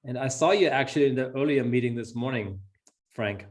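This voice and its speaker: Man, middle-aged, Austrian accent, German native, mid-pitched, upbeat webinar host, chatty and encouraging, video call. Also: a little fast. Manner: effusive, encouraging. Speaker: webinar host, sales executive.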